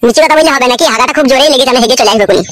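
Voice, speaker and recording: Sheepish young cartoon husband played by a man, defensive and evasive, high pitched-up voice, very fast talker. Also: a bit bright, a little hiss or hum. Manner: evasive, earnest. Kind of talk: comedy cartoon dubbing.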